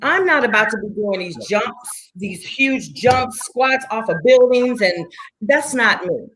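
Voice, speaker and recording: A woman's voice: a little high, expressive, unhurried, fairly bright tone, slight background noise, low-quality recording. A woman in her forties, a fitness instructor, chatting enthusiastically and repeating herself for emphasis. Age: 40s. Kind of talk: casual chat.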